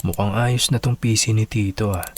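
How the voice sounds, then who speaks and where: A man's voice: fairly low pitch, steady tone, moderate pace, slight background noise, lightly acted. A man playing a helpful young boyfriend in a Filipino radio drama, musing aloud to himself.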